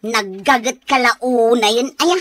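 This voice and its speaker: A woman's voice: a little high, slow, a bit bright. A woman with an Ilocano accent playing an idle, easily offended, gossip-loving neighbor in a radio comedy, whiny and pestering.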